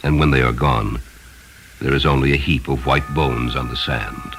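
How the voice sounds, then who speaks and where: A man narrating a story from a script in a solemn, expressive and dramatic style. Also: a bass voice, normal speed, soft background music. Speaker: audiobook narrator.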